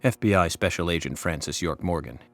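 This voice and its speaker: An actor playing a cool, eccentric FBI special agent in a game cutscene, deliberate and dryly formal. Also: male voice, sits low, lively intonation, no echo. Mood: dry, composed. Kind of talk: video game cutscene acting.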